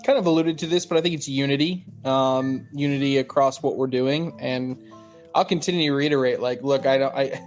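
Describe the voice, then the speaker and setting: Measured man in interview, faint guitar behind. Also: mid-range voice, fairly even intonation. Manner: careful, polished corporate phrasing with pauses. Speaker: sports league spokesman being interviewed.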